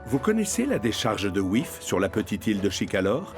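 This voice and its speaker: A man's voice: lowish voice, very animated, a little fast, music in the background. A man narrating a children's cartoon in a scripted storytelling style.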